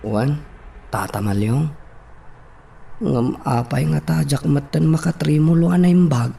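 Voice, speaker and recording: Wistful gentle radio drama man, slow creaky voice, bit of noise behind. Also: on the high side. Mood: downcast, respectful. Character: gentle, wistful man recovering his lost singing voice. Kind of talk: Ilocano radio drama acting.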